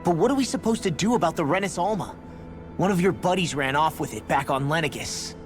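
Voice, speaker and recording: An actor voices a skeptical, plain-spoken companion in a video game. The delivery is casual and slangy with big swings in pitch, over clearly audible music. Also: animated, a little fast, close to an everyday voice. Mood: questioning. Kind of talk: scripted video game voice acting.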